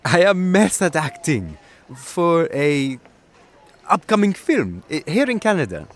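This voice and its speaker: A man's voice: a little high, very animated, unhurried. A man playing a cheeky, theatrical young German showman in an audio drama, with a German accent. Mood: indignant, pompous. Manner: theatrical, self-important, playful.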